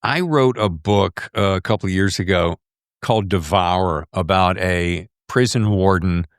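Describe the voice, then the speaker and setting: A man's voice: low voice, measured pace, clear, resonant tone, no echo, studio quality. A man hosting a casual podcast interview, talking animatedly and slipping into acting out his own thoughts. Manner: animated, acts out inner monologue. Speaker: podcast host interviewing an author.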